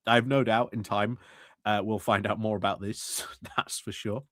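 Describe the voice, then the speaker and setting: A man's voice: lowish voice, level tone, normal speed, fairly bright tone, dry recording. Hesitant man commenting, dry close mic. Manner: hesitant, many fillers and restarts. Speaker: political commentary streamer. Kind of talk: casual commentary over meeting clips.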